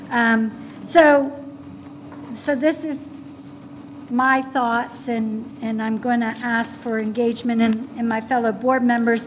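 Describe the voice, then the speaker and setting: A woman on a school board speaking at a public meeting, soft and mousy in voice, hesitant with many fillers and restarts. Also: on the high side, a little hiss or hum, poor audio. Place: meeting room.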